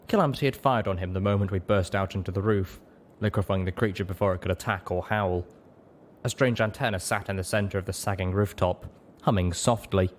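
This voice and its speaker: Guy with a lowish voice reading a first person story out loud, audiobook style. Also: slight background noise. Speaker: narrator.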